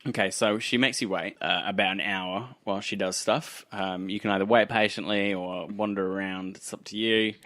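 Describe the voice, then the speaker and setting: A man's voice: on the low side, fairly even intonation, moderate pace, hardly any pauses, fairly bright tone, no room sound, clean studio sound. A man narrating a tabletop roleplay game as game master, descriptive and wry.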